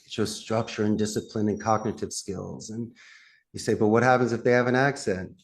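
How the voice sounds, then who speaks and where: A man with a Philadelphia accent, a call center CEO giving animated, emphatic interview answers with lively pitch swings and frequent laughs. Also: fairly quick.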